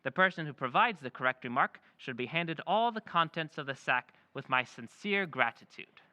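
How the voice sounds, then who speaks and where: Actor as a mysterious stranger in a radio play, formal and measured, bright tense voice, a bit high. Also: male voice, animated. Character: mysterious male stranger dictating a letter, formal. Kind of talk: old-time radio play acting.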